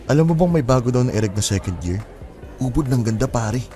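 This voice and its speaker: A man playing an excitable, gossipy male college best friend in a radio drama, slangy and teasing. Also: medium pitch, fast, soft background music, close to an everyday voice. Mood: eager, gossipy. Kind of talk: Filipino radio drama acting.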